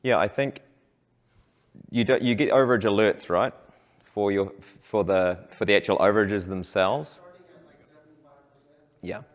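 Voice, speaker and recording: Man, deep voice, presenter, chatty Q&A, hall reverb. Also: steady tone, measured pace, pauses often. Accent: non-European English. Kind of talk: conference session Q&A. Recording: long reverb of a conference hall.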